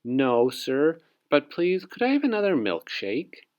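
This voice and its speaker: A man voicing a timid, excitable, polite young girl in a storybook read-aloud. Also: fairly high pitch, a little slow, dull audio, pitched above the performer's own voice.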